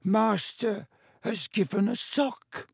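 A man voicing a small, timid male house-elf, slow and wondering in a high squeaky voice. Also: creaky, slightly rough, no echo, studio quality, exaggerated voice. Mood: awed.

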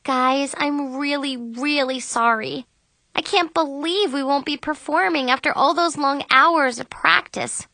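A woman's voice: fairly high pitch, lively intonation, normal speed, bright, forceful tone, no room sound. A woman voicing an earnest, pleading, self-blaming teenage girl in an audiobook. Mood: guilty, apologetic. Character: apologetic, emotional teenage girl narrator-heroine, self-blaming.

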